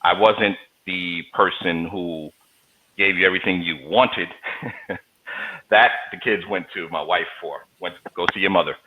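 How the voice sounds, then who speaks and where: A man's voice: low-pitched, measured pace, pushed and bright, slight background noise, low-quality recording. A man, a guest in an interview, telling stories in a joking, conversational way over a video call. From New York, USA. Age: 40s. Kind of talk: casual interview talk.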